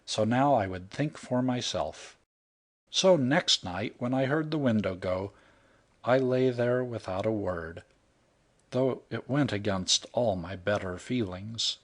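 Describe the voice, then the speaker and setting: A man's voice: on the low side, slow pace. Guy reading a funny story aloud, takes his time, wry first-person telling. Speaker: audiobook narrator. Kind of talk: scripted narration.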